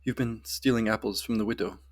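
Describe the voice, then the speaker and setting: A man's voice: fairly low pitch, fairly even intonation, fairly quick, natural voice. A man voicing a guarded, terse female wilderness ranger in a fantasy story, curt and stubborn.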